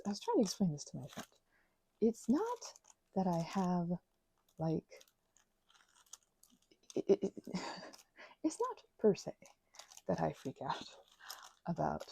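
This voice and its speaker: Woman, ASMR rambler, thick vocal fry, very mellow dark tone, meandering talk with whispers. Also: fairly low pitch, slight background noise, poor audio. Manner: meandering, filler-heavy, drifting into whispers. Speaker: ASMR creator. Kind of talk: soft-spoken ASMR craft rambling. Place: indoors at home.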